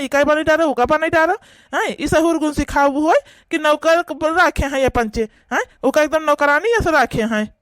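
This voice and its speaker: A man's voice: very high-pitched, a bit bright, dry recording, clean studio sound. A man voicing an indignant young brother in a village family cartoon, arguing and scolding with pointed questions to protect his sister. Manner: argumentative, scolding, rhetorical questions. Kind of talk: rural family cartoon dialogue.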